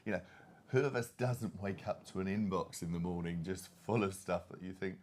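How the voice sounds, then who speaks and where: A middle-aged man talks as an expert on a discussion panel. His voice sits fairly low, and he speaks conversationally, wandering into asides and qualifications as he goes. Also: brisk, darkish tone, a little hiss or hum.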